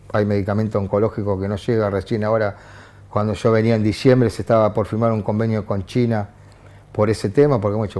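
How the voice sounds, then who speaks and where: A man's voice: on the low side, warm tone. Hesitant Argentine man, TV panel guest. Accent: Argentine Spanish. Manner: hesitant, many restarts and fillers. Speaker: panel guest, international journalist. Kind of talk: TV studio political discussion. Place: TV studio.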